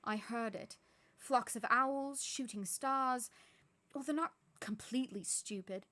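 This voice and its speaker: A prim, irritable middle-aged professor, played by a woman reading aloud snaps out her words in a clipped way. Her voice sits fairly high and has a loud, sharp edge. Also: slow pace. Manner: clipped and impatient.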